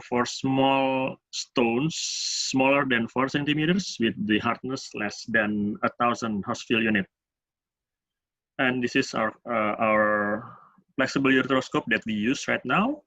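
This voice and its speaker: A man with an Indonesian accent giving a medical lecture as a urologist, speaking in halting, hesitant phrases. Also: medium pitch, a bit bright. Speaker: urologist presenting at a medical webinar. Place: online webinar. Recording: dull, roomy webinar audio.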